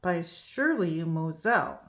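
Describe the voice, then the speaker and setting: A woman reading a picture book aloud for preschool children, slow and expressive. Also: lowish voice, a little hiss or hum.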